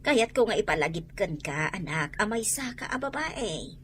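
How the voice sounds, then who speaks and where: Fretful mother, animated voice. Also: mid-range voice, normal speed, a little hiss or hum. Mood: reproachful. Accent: Filipino, Ilocano. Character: insistent, fretful mother of a tomboy daughter. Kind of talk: Ilocano radio drama acting.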